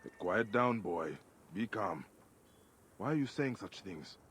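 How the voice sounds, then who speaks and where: An actor playing a stern, watchful middle-aged warrior in a video game, muttering terse, brooding observations. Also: male voice, fairly low pitch, lively intonation, slow, heavy background noise. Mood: calm, firm. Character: gruff veteran Medjay warrior, stern and watchful. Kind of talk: video game cutscene acting. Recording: loud music behind the voice.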